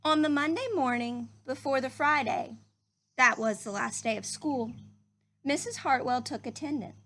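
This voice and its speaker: A woman's voice: high voice, normal speed, a bit bright. A woman reading a picture book aloud to young children like a teacher, speaking to them directly in simple phrases.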